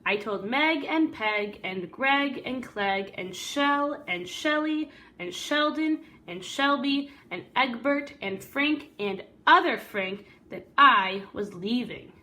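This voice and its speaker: A woman voicing an earnest, weary good egg who tells his own story in a deliberate, dramatic storybook delivery. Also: on the high side, animated, sharp tone, exaggerated voice.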